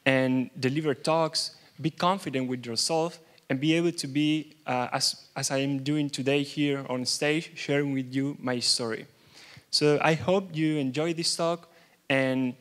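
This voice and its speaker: A man's voice: a little high, fairly bright tone. Nervous Argentine man giving a keynote. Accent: Argentine Spanish. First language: Spanish. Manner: nervous, halting, many restarts. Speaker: keynote speaker. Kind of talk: conference keynote. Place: large conference hall.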